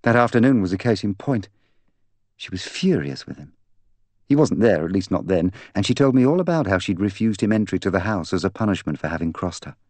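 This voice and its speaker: Audiobook role: proud young woman, bitter and candid, intense delivery, low voice performed by a man. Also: big pitch swings, brisk, darkish tone, no echo. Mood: scornful. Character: proud, bitter young woman confessing to killing her fiancé. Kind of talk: audiobook character dialogue.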